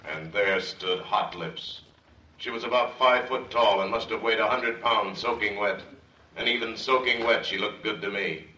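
A hard-boiled private eye narrating a spoof radio thriller with heavy melodrama. His very low voice is forceful and echoes from a distance, with faint noise on the old recording. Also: moderate pace. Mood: moody. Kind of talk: parody noir narration, old radio drama.

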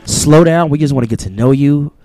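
A man hosting a casual podcast interview in a studio, relaxed and slangy, reading a sponsor plug now and then. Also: fairly high pitch, a little fast, faint music behind. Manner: laid-back, loose slang, reads sponsor spots. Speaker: podcast host. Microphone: studio mic.

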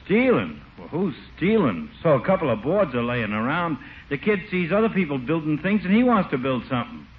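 Loudmouth folksy barber, slangy, repeats himself, old radio comedy, dull hissy sound. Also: male voice, on the high side, sing-song, brisk. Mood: scoffing. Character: brash, opinionated small-town barber, loyal and folksy. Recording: muffled, noisy vintage radio recording.

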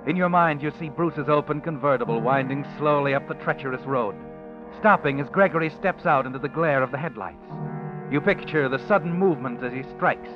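Ominous narrator of a radio thriller. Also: male voice, a little high, lo-fi sound. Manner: measured, ominous. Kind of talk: second-person radio drama narration. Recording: old radio recording with music underneath.

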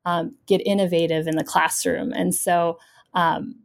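Woman professor with a low voice. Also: level tone, unhurried, creaky. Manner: thoughtful, many fillers. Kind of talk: interview answer.

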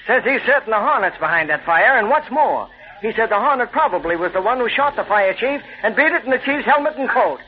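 An actor playing an excitable, deferential newsroom man with folksy, informal talk in an old-time radio drama. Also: sits high, fairly quick. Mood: eager reporting. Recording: thin, tinny old radio recording.

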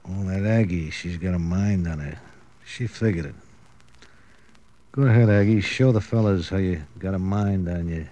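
Actor as a deep, dark-voiced maudlin barfly. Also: male voice, moderate pace, close to an everyday voice. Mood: resentful. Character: boozy, lovesick, bitter washed-up nightclub co-owner. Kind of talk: classic radio crime drama acting.

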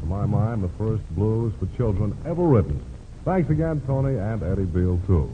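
A man's voice: lowish voice, sing-song, moderate pace, phone-quality sound. Swooping, showy vintage radio emcee, male. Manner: showy jive-talking patter. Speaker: radio show announcer and host. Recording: noisy band-limited old radio broadcast.

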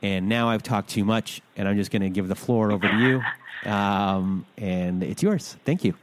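A man's voice: on the low side, moderate pace, background noise. A man hosting a podcast interview, rambling loosely with lots of "uh"s and interrupting himself. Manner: rambling, filler-heavy, self-interrupting. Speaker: podcast host.

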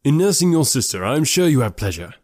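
A man voicing an amiable, cheerful young gentleman in an audiobook, friendly, open and earnest. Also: medium pitch, big pitch swings, fast, no room sound, studio quality, pitched above the performer's own voice. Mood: cheerful, kind. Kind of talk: classic novel audiobook character voice.

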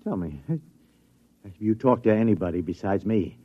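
Casting: earnest, rattled official, lowish pitch, repetitive pleading delivery, dull vintage radio recording. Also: male voice, animated, measured pace. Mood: puzzled, uneasy. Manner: stammering, repetitive, insistent. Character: flustered, earnest middle-aged male laboratory security chief. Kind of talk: old-time radio comedy drama acting.

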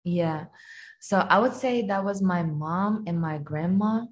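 A woman's voice: lowish voice, measured pace. Chatty young woman from Kazakhstan. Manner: relaxed and chatty, many fillers like "like" and "um". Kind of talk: casual podcast interview.

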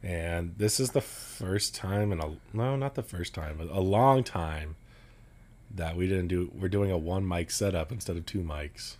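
Loud deep-voiced young man chatting. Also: a little slow. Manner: loud, laughing, rambling. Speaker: podcast co-host. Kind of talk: casual podcast chat.

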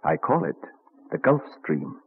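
An elderly, scholarly statesman with a deep voice that rises and falls in lively swells. He is fond and musing, savouring his words. It sounds like a muffled old radio play. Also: unhurried, gravelly, husky, heavily acted. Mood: fond, proud. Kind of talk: old-time radio drama acting. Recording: muffled vintage radio recording.

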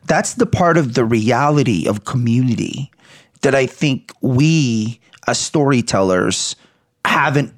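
A man in his forties, from the Philippines, talking earnestly and reflectively as an interview guest, often checking in with "right?" and soft laughs. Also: mid-range voice, expressive, measured pace, no room sound, clean studio sound.

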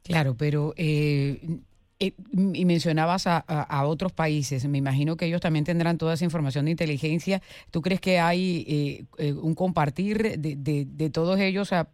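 A man's voice: a little high, steady tone, moderate pace, a little mellow, dry recording, clean studio sound. A man interviewing on radio in a conversational way, feeling his way through questions with repeated words.

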